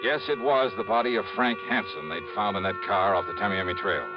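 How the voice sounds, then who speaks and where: Man playing sharp American radio sleuth, clipped urgent phrasing, dull vintage recording, music underneath. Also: lowish voice, brisk, close to an everyday voice. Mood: measured narrating. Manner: clipped, urgent, thinking aloud in broken phrases. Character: brisk, probing male insurance investigator, sharp and restless. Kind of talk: 1950s American radio detective acting with first-person narration.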